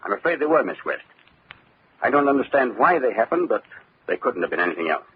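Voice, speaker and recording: An actor playing an earnest, worried company superintendent in an old-time radio drama, on a muffled old recording. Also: male voice, medium pitch, sing-song, fairly quick, husky. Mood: anxious.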